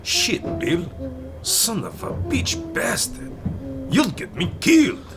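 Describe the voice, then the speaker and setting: A man playing a gruff, wary foreign workman in a radio drama, speaking blunt broken English. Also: very high voice, big pitch swings, slow pace, over music, full character voice. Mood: agitated.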